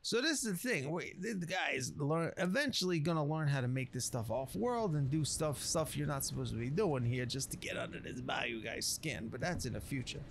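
Animated man casually narrating a video game. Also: on the high side, moderate pace, gravelly, few pauses, a little hiss or hum. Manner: folksy slangy speech, muttering to himself. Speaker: gameplay streamer. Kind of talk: casual game commentary in a loose roleplay.

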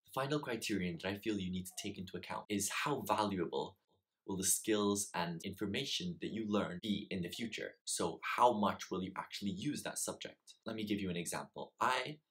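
Young male student vlogger, deep, plain advice tone, home study, earbud mic. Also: brisk, a bit bright. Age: young adult. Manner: earnest, explanatory, repeats "really" for emphasis. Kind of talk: direct-to-camera advice vlog. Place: small home study room with shelves.

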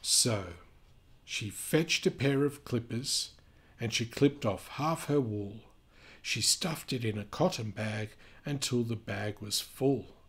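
A man reads a picture book to children in a gentle, expressive manner. His medium-pitched voice is husky and takes its time, slipping into chatty asides between the story lines. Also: takes it slowly.

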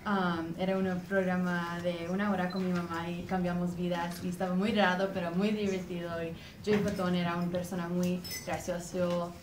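Young female singer, halting interview answers, fairly flat delivery, distant camera audio in an echoey room. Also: fairly low pitch. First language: English. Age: young adult. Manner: hesitant, searches for words, many "um"s. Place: dim indoor room. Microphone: far camera. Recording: echoey room, far-off camera mic, clear background noise.

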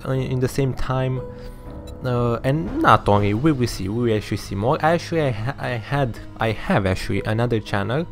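A man in his thirties from Romania, speaking English with a Romanian accent as a second language, hosting casual gameplay commentary with many fillers and restarts. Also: medium pitch. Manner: halting, many fillers and restarts, says "actually" constantly. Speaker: gaming channel host. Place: small room indoors. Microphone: desk microphone close to mouth.

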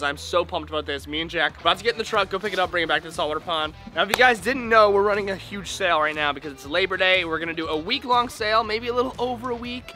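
Hyped fast-talking young man vlogging. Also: sits high, sharp tone. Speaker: fish-keeping vlogger. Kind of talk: excited vlog talk to camera. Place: outdoors by a pond and in a garage.

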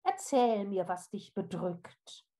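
A woman playing a small, light-hearted old woman in a fairy tale, fearless and kindly, with a cheerful, gently encouraging manner. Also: mid-range voice, lively intonation, slow pace. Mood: kind, reassuring.

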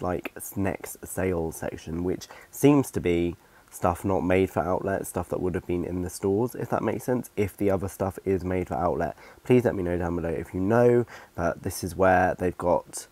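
A man's voice: deep voice, moderate pace, a little mellow. Rambling, stuffy-nosed young man. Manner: rambling, chatty, lots of ums and self-corrections. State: stuffy-nosed from hay fever. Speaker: shopping vlogger. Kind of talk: casual chatty vlog. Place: living room at home, then a clothing store.

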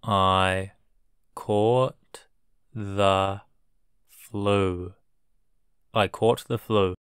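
Very slow, low-voiced man drilling English. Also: fairly even intonation, a bit bright, clean tone, no room sound, studio quality. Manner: repeats each word three times, then word by word. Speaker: English teacher. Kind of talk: slow drilled vocabulary reading.

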